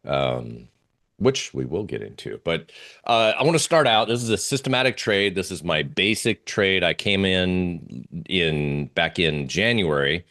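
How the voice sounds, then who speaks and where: A man's voice: low voice, lively intonation, measured pace, a bit bright. A man giving a casual, hesitant presentation as a guest trader, thinking aloud as he talks through charts. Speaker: interview guest, oil trader.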